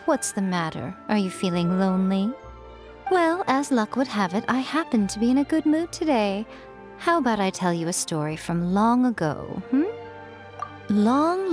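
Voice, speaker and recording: A woman playing a self-assured, teasing fox spirit shrine maiden in a video game, with playful, coy and deliberate phrasing. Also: on the high side. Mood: playful, inviting. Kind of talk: polished video game character acting. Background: music.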